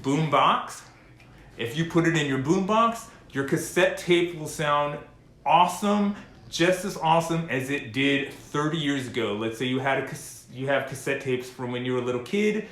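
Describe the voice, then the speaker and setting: A man giving a casual, unscripted music gear demonstration, pausing often mid-sentence as he talks. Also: medium pitch, expressive, fairly bright tone, reverberant. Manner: halting, pausing mid-sentence between phrases. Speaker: host of a music gear demo.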